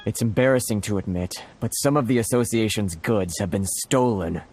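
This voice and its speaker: An actor playing an anxious, apologetic merchant in a video game, hesitant and flustered. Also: male voice, lowish voice, unhurried, a little mellow, a little hiss or hum, close to an everyday voice. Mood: dejected. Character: anxious, apologetic foreign merchant, self-pitying.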